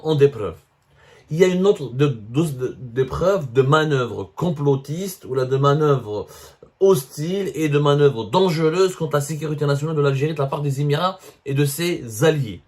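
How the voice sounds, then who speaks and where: Emphatic higher-pitched Algerian man, political commentary. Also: a little mellow. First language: French. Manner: emphatic, repeats phrases for stress. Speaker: host of a political commentary show. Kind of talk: opinionated political commentary addressed to viewers.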